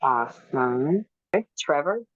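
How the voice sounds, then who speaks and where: A woman's voice: low-pitched, animated, measured pace, dry recording, muffled recording. A woman hosting a dating show, calling on guests one by one with short cheerful acknowledgements.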